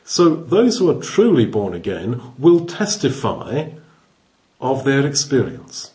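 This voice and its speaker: A man teaches scripture at a middling pitch. He speaks thoughtfully and explains verses word by word. He often restarts his phrases as he works through an idea. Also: sing-song, takes it slowly, darkish tone. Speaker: Bible teacher. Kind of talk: expository Bible teaching.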